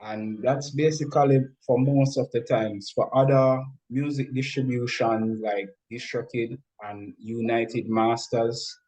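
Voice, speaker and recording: A man speaking as an interview guest, explaining things in a measured, instructive way. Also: medium pitch, fairly even intonation, a little mellow, rough recording. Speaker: interview guest, music industry expert.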